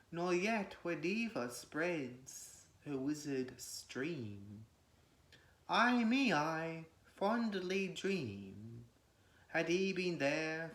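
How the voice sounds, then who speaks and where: A man gives a solemn, declamatory, measured recitation of classical poetry. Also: fairly high pitch, a bit bright. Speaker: poetry reader. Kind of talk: dramatic recitation of classical verse. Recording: echoey room.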